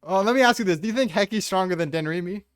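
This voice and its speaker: Slightly high guy voice, blunt, swears a lot, arguing casually, no room sound. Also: very fast, dry recording, clean studio sound. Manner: blunt, profane, combative. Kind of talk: casual debate about a manga ranking.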